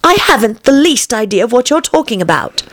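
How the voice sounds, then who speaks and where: A woman's voice: on the high side, sing-song, a little fast, a bit bright, voice pushed up. A woman voicing a polite, puzzled little English girl in a children's storybook, earnest and a bit hesitant as she protests.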